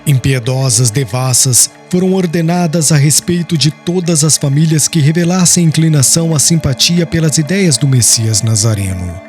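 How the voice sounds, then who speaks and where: A man with a Brazilian accent giving a solemn, measured audiobook narration of literary prose, with phrases falling at the end. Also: fairly high pitch, a little mellow. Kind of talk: scripted literary narration of a religious historical novel. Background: background music.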